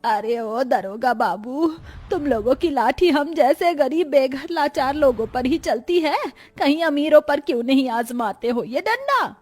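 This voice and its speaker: A woman's voice: high voice, lively intonation, measured pace, hardly any pauses, darkish tone. A woman voicing a poor, long-suffering, plaintive family woman in a cartoon story. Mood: bitter, defiant. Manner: plaintive, lamenting. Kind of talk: Hindi cartoon story acting.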